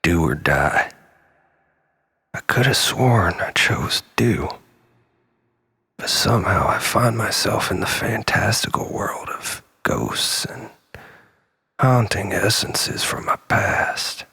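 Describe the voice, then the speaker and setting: Creaky-voiced man in a theatrical, brooding monologue. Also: on the low side, takes it slowly, pauses often, bright, forceful tone. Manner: theatrical, brooding, often dropping to breathy near-whispers. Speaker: storyteller, monologist. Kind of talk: dramatic confessional spoken-word monologue.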